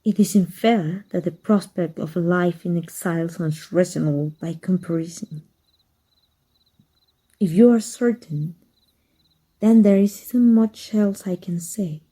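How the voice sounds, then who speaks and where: A woman from Mexico voicing a cunning dark magic teacher in an ASMR roleplay, speaking softly and measured, sometimes dropping to a whisper. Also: lowish voice, breathy edge. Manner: soft, measured, drops to whispers. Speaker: voice actor.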